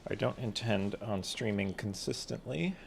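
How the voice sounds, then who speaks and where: A man's voice: on the low side, normal speed, gravelly, slight background noise. A man streaming a game, giving casual, offhand commentary and muttering to himself as he goes. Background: music.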